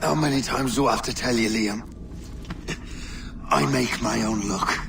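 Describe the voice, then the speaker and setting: An actor playing an earnest, defiant turncoat assassin in a video game cutscene, pointed and sardonic. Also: male voice, mid-range voice, unhurried, creaky, big-room sound, noisy background. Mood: grim, resolute.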